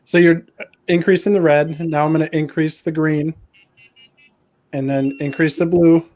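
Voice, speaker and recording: A man's voice: on the high side, steady tone, normal speed, a little mellow. A man casually demonstrating a project as a guest, friendly and plain-spoken. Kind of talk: casual show-and-tell demo.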